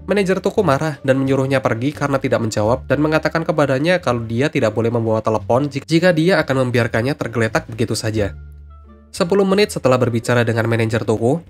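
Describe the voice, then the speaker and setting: A man reading out scripted stories as a narrator, with music behind him. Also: mid-range voice.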